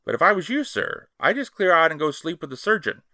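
Nervous servile steward, voiced by a man reading, high and bright. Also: very animated, fast talker, dry recording, clean studio sound, exaggerated voice. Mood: pleading. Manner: wheedling, servile, dropped h's. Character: frightened cockney ship's steward, nervous.

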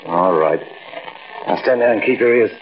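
An actor with an American accent playing a brash, impatient gangster in a 1940s radio drama, bossy, slangy and dismissive. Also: male voice, on the low side, normal speed, close to an everyday voice. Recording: dull, hissy old radio broadcast.

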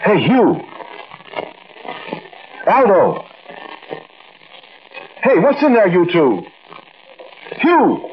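An actor playing a frightened, hesitant young crook in a 1940s radio drama, wary and dramatic. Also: male voice, high-pitched, huge pitch swings, drawn-out words, long pauses, exaggerated voice. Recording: dull old radio with clear hiss.

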